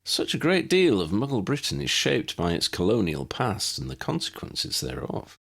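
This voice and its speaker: A man's voice: mid-range voice, big pitch swings, normal speed, creaky, fairly bright tone, husky, voice pushed up, heavily acted. A man voicing an inquisitive older man in an audiobook, formal and reflective. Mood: curious, reflective. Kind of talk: audiobook character dialogue.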